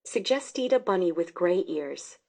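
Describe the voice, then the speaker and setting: A woman narrating a children's story about bunnies in a plain, even reading. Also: on the low side, moderate pace.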